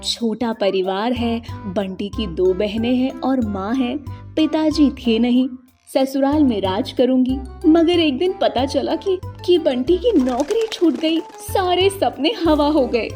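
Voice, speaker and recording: A woman's voice: sits high, slow, mellow, faint music behind. A woman reading scripted narration for a children's story.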